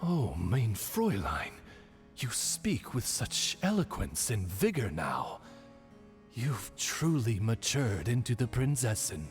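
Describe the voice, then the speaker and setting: A man's voice: medium pitch, sing-song, takes it slowly, soft background music. A man voicing a loyal, courtly talking bird in a video game, speaking formally and eloquently like a dignified sage servant. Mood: dignified, admiring. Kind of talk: theatrical video game voice acting.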